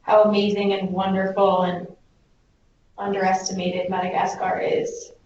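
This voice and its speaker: A woman's voice: mid-range voice, completely flat delivery, normal speed, a little mellow. A young woman, a volunteer, giving casual, effusive advice on a group call. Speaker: Peace Corps volunteer. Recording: distant, echoey, dull, hissy conference call.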